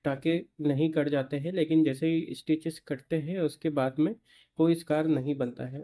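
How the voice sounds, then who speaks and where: Young man, clinic doctor, explanatory, pauses with fillers, clinic room. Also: a little high, dark tone. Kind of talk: explaining to camera.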